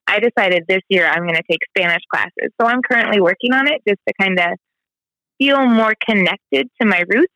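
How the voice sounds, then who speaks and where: Thirtysomething midwest woman chatting away, bright and dry sounding. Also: medium pitch, no echo, poor audio. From American Midwest. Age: 30s. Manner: candid, chatty, lots of "like" and "you know". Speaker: guest. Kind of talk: personal conversation.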